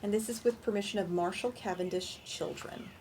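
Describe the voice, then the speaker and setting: A woman reading a picture book aloud to young children in an animated storytime style, pausing to ask questions and explain things simply. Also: medium pitch, slight background noise, poor audio. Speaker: children's librarian and storytime reader.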